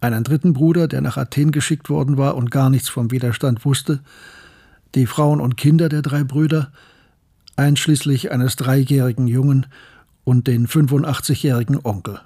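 An actor playing a grave scientist who narrates his memories of wartime tragedy in a sombre, measured way for a radio drama. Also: mid-range voice, fairly even intonation, dark tone, slightly rough. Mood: grave, bleak.

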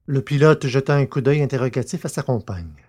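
A male narrator with a medium-pitched voice reads an audio fiction story. His delivery is scripted storytelling, setting out scenes in prose. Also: a little fast, mellow, slightly rough.

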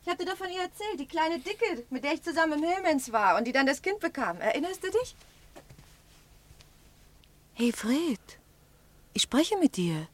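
An actress playing a caring, practical, chatty partner in a German radio drama, with a homely, casual way of talking. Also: female voice, high-pitched, sing-song, normal speed, pauses often. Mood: puzzled.

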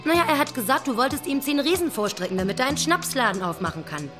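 A woman's voice: sits high, lively intonation, fast talker, music in the background. An actress playing a proud, indignant young dance girl, brassy and insistent, in a crime radio play. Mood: demanding. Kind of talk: hard-boiled radio crime drama acting.

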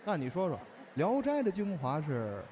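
Audio drama straight man, young male actor, high voice, needling reactions, clear background noise. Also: wildly swooping pitch, normal speed, warm tone. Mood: wry disbelief. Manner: wry, reactive. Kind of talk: audio drama acting with stage patter. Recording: noisy ambience behind the voice.

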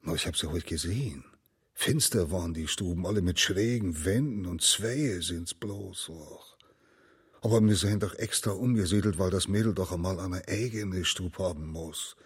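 An actor playing a worried, weary older refugee father with a husky voice, in radio play dialogue. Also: low voice, normal speed. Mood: worried, resigned.

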